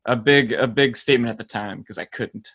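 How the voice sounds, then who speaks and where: A man's voice: medium pitch, fairly quick, gravelly, a bit bright, no echo. A young man from South Carolina chatting casually as an interview guest, in a loose, rambling, easygoing way. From South Carolina, United States. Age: young adult. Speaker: band member, podcast guest. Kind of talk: casual chat.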